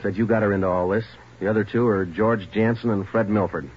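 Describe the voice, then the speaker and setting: Police detective, very low voice, brisk, firm, relentless, clipped, muffled vintage radio drama. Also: male voice, fairly even intonation, husky. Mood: matter-of-fact. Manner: clipped, matter-of-fact, pressing. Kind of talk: 1940s radio drama acting. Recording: noisy, muffled 1940s radio recording.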